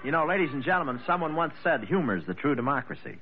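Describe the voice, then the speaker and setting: A man's voice: on the high side, lively intonation, fast talker, music in the background. An American man giving a scripted, folksy and oratorical storytelling address in 1940s radio style. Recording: muffled vintage radio broadcast.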